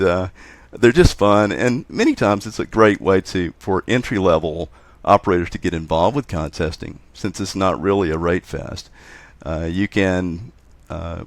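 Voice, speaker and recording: A man giving a casual, halting explanation as an interview guest, repeating words for emphasis. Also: sits low, no echo. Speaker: interview guest, amateur radio contest operator.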